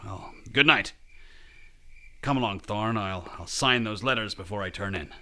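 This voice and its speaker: Man as gruff bright-edged ranch boss. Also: fairly low pitch, normal speed. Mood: hearty. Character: gruff ageing American ranch-owning boss. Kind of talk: audio drama acting of a 1930s mystery.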